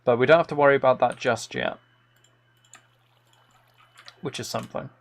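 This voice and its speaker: A man's voice: mid-range voice, normal speed, gravelly. A man hosting a live game stream in casual chat, rambling playfully and thinking aloud into a close microphone.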